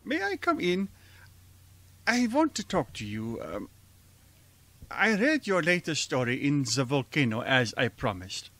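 An actor playing a gentle, shy, earnest German professor with a halting manner and a German accent in a radio drama. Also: male voice, fairly high pitch, big pitch swings, no room sound, full character voice. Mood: earnest, apologetic. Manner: hesitant, halting. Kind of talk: old-fashioned radio drama acting.

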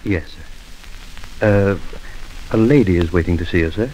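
An actor playing a servile, halting manservant in a 1940s-style radio drama. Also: male voice, sits low, poor audio. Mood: deferential. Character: deferential, hesitant manservant. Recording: old radio recording with steady hiss.

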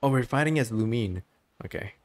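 A man's voice: mid-range voice, expressive, fairly quick. A man giving casual gameplay commentary as a streamer.

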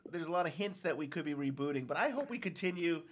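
Higher-voiced man chatting on a podcast. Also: expressive, fast talker. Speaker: podcast co-host. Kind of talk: casual fan discussion.

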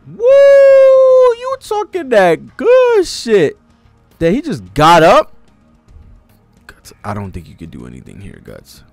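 A man's voice: very high voice, wildly swooping pitch, takes it slowly, darkish tone, no echo, faint music behind. A young American man giving casual, profane, slang-filled live commentary as a manga reaction host, speaking expressively into a close desktop microphone. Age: young adult. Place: cluttered room at home. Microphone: desktop microphone close in front.

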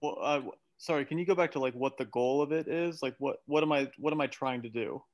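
A man with a medium-pitched voice reasons out loud on a group video call. He sounds like a coach giving a casual explanation, tentative as he builds his answer. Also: fast talker. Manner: tentative, thinking aloud.

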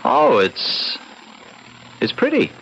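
A man's voice: very high voice, huge pitch swings, slow pace, vocal fry, fairly bright tone, exaggerated voice. An American man playing an exasperated, ambitious husband in a radio drama, pleading and impatient. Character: ambitious, exasperated husband, anxious to please his boss. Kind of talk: 1970s radio drama acting. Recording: muffled, hissy old radio broadcast.

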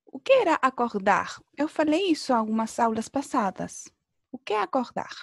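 A woman's voice: fairly high pitch, sing-song, normal speed, no echo, studio quality. A woman teaching a beginner language class over a video call, encouraging pupils and often checking in with short tag questions. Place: indoor room, online video class.

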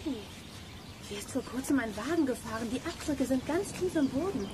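A woman's voice: high voice, animated, fairly quick, a little mellow. A woman playing a clever, decisive girl detective in a children's audio drama. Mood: deducing.

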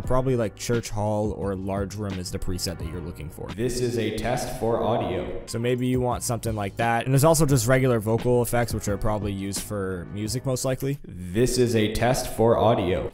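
A young man hosting a casual software tutorial in an offhand, informal way, speaking close into a desk microphone in a room at home. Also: medium pitch. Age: young adult. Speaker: video tutorial host. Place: small room at home, indoors.

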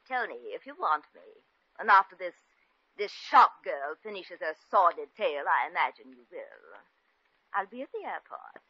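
A woman's voice: fairly low pitch, sing-song, normal speed, breathy edge, no echo. An actress playing a haughty, jealous upper-class British woman with a cutting, theatrical manner in a 1950s radio comedy. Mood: icy, sarcastic. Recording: dull, lo-fi old radio recording.

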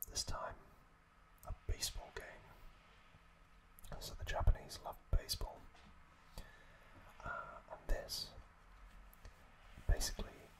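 Slow whispering man, ASMR host. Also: poor audio. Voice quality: breathy unvoiced whisper. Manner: slow, halting, informal explaining. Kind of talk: whispered ASMR episode recap.

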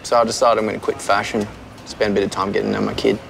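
A man's voice: on the low side, flat delivery, rapid-fire, gravelly, music in the background. A man in his thirties in a comedy sketch, smugly bragging about his spiritual retreat at an outdoor cafe. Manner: smug, self-satisfied spiritual bragging. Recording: street noise behind.

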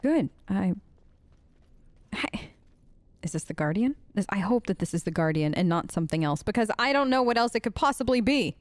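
A woman's voice: medium pitch, animated, moderate pace, no room sound. A woman hosting a let's play with casual, wry, hesitant role-play commentary, talking in character.

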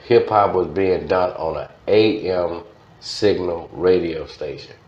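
Middle-aged male DJ, Louisiana Southern accent, deep pitch, conversational storyteller, dull lo-fi recording. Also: slow pace. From Baton Rouge, Louisiana. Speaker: radio DJ being interviewed. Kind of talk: casual storytelling in an interview. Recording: roomy, dull lo-fi audio.

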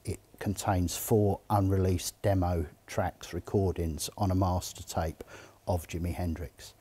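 A middle-aged man who speaks for an auction house, answering interview questions with enthusiasm and measured pauses, in an indoor showroom. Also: low-pitched, steady tone, mellow, slight background noise. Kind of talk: interview answers about collectibles.

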